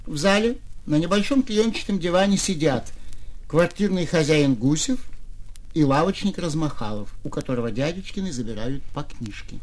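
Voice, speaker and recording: A man reading a short story for radio as an actor, in expressive theatrical narration. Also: on the high side, normal speed.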